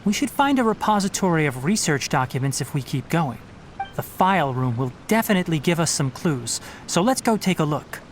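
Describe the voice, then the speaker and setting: A man's voice: a little high, very animated, fairly quick, background noise. A man voicing a composed, analytical young male forest ranger and scholar in a video game. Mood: calm. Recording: game audio with faint music.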